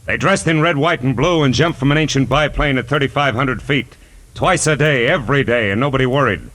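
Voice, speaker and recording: An actor playing a cynical, wisecracking private detective in a 1940s radio noir drama, with a wry, clipped, hardboiled delivery. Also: male voice, mid-range voice, fairly quick, a bit bright, natural voice. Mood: ominous. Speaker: lead actor and narrator. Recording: vintage 1940s radio broadcast, music behind.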